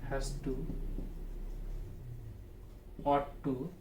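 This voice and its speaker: A man with a Bangladeshi accent teaching a grammar lesson in a deliberate, repetitive way, checking in with his pupils. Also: mid-range voice, flat delivery, mellow. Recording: echoey, distant mic, noisy room.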